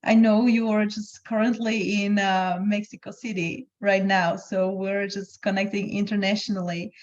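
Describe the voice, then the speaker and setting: A woman's voice: mid-range voice, little pitch movement, normal speed, a little mellow, highs cut off. A woman interviewer introducing her guest in a casual, friendly way with frequent fillers.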